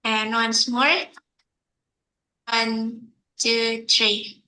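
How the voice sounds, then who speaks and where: Woman running the event on a video call, high bright voice, slow and even. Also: slight background noise, rough recording. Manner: slow, even, deliberate counting. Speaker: event organizer. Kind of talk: announcements at an online event.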